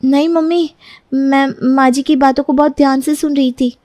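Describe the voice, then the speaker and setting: A woman doing a high, bubbly young bride. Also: fairly even intonation, moderate pace, mellow, dry recording, close to an everyday voice. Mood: flustered. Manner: bubbly, childlike, whiny. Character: playful sleepy young bride, cheeky and lazy.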